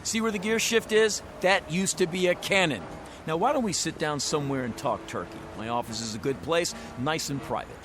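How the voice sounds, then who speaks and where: A man acting a high-pitched, pushy used-car huckster. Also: brisk, noisy background, lightly acted. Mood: smooth, cajoling. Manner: bombastic, wheedling, florid sales patter. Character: pushy, glib used-car salesman, a folksy fast-talking huckster. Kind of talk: radio drama acting.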